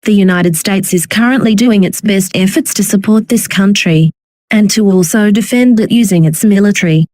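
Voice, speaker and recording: A woman's voice: mid-range voice, normal speed, a little mellow, dry recording. A woman reading a scripted, formal emergency broadcast announcement.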